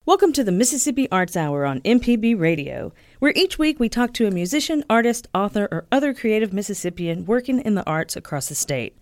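A woman's voice: medium pitch, sing-song, brisk, few pauses, a little mellow. A woman hosting a radio arts interview, friendly and conversational with light fillers. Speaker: radio arts show host.

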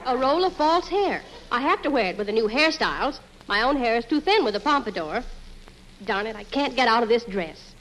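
A woman's voice: fairly high pitch, animated, fairly quick, a bit bright. An actress playing a chatty, fussy, nagging wife in a 1940s radio comedy, blithely needling her husband. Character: chatty, nagging wife, blithely self-absorbed and penny-minded. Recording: noisy old radio recording, studio audience.

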